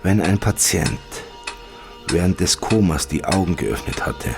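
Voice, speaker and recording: A man reading a scripted first-person story as a wistful narrator, in a measured way with dramatic pauses. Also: sits low, expressive, vocal fry, music in the background, exaggerated voice. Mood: sorrowful. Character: wistful male narrator mourning an imagined life.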